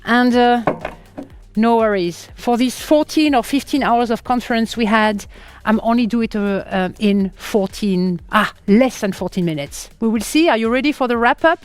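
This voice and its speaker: A woman with a French accent hosting a conference live on stage, in a lively, playful way that breaks into laughter. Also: a little high, moderate pace, smooth, no room sound, soft background music. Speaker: conference host and moderator.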